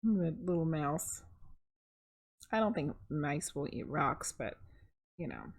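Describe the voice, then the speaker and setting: Husky, expressive woman reading a storybook. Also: sits low, takes it slowly. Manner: expressive, conversational, chuckles at own asides. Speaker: host reading a picture book aloud. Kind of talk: children's story reading with casual asides to viewers.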